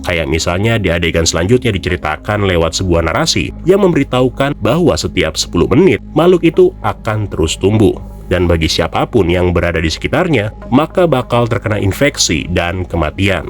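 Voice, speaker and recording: A man with a low voice hosts a review. His delivery is casual but scripted, and his pitch moves around in an animated way. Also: a little fast, faint music behind. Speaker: YouTube host and film reviewer.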